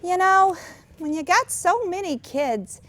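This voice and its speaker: A woman's voice: extremely high pitch, expressive, a little slow, fairly bright tone, exaggerated voice. A woman in a staged play reading, playing a simple, earnest, plain-spoken housewife and mother. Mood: wistful. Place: children's theatre stage, filmed staged reading.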